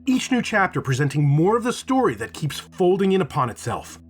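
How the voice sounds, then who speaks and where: A man's voice: high voice, big pitch swings, brisk. A man narrating a scripted review as the host of the show.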